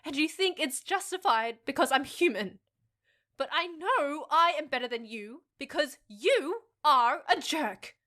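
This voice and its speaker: Woman acting a high-voiced, spirited half-fox-spirit young woman. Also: lively intonation, measured pace, sharp tone, heavily acted. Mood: indignant. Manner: defiant, indignant, argumentative. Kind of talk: audio drama dialogue.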